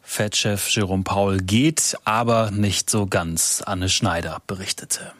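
A man presenting the night news on the radio, reading reports and a traffic warning in a calm, measured way. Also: fairly low pitch. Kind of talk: read news and traffic bulletins. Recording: dry studio sound.

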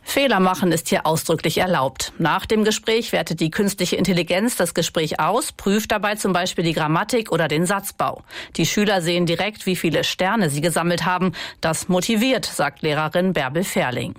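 A woman's voice: fairly low pitch, moderate pace, no room sound. Woman reporter with a dry, close sound. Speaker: radio news reporter. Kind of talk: scripted narration.

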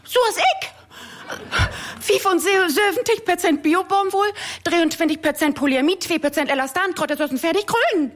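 A woman's voice: extremely high pitch, normal speed, fairly bright tone, pitched above the performer's own voice. A woman voicing a whining lost sock that calls plaintively for its partner in a comic story.

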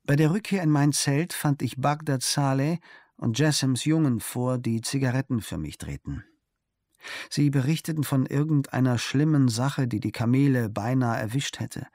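A man's voice: mid-range voice, a little mellow, no echo. A man reading a literary travel diary as scripted narration. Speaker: audiobook narrator.

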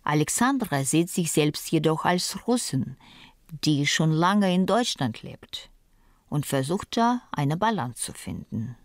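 A woman reading scripted documentary narration in a measured, even way. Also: deep voice, no echo, studio quality.

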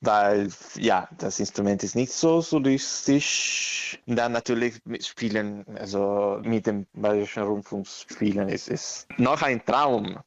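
A man's voice: fairly low pitch, takes it slowly, hardly any pauses, no echo, dull audio. A man from Italy with an Italian accent, talking casually in an interview, searching for words and slipping into English. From Parma, Italy. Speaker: interviewee, orchestral bassoonist.

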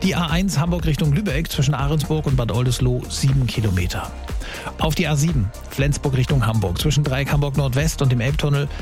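A man presenting on the radio in a chatty, informal way. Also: medium pitch. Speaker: radio host. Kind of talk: casual radio presenting, weather and traffic. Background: music bed.

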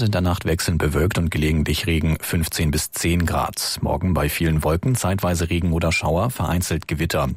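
A man reading the evening radio news and weather in a calm, even newsreader manner. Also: low-pitched, husky, dry recording.

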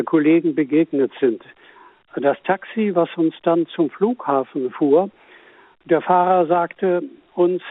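A male caller tells his account hesitantly, often pausing on filler sounds, at a fairly high pitch. He comes through a tinny, dry phone line. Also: dry recording.

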